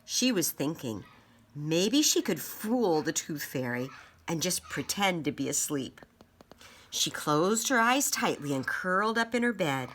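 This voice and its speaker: Children's story narrator, woman, bright clear-cut tone, lively melodic range. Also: lowish voice, slight background noise. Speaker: storybook reader.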